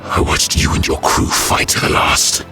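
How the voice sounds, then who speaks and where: A man voicing a grim, vengeful reptilian alien warrior in a science fiction audio story, with a harsh, low, gravelly voice and solemn, deliberate delivery. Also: over music, close to an everyday voice. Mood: grim, resolute. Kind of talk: dramatic audio story acting.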